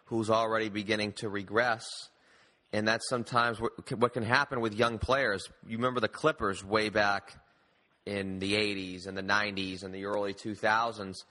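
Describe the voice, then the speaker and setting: A man with a fairly low but bright, forceful voice hosts a sports talk show. He debates in a conversational, opinionated way. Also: fairly even intonation, normal speed. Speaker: sports podcast host.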